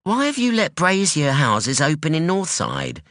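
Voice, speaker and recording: Male narrator voicing a defiant young street-tough woman, blunt, challenging, bright, animated. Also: on the high side, measured pace, no room sound, studio quality, pitched above the performer's own voice. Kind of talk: audiobook dialogue.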